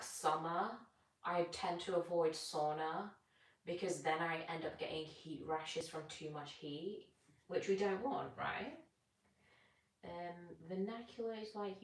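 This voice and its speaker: A young British woman chatting casually on a live stream while she stretches, rambling and answering comments. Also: low voice. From Britain. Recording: echoey room, distant from mic.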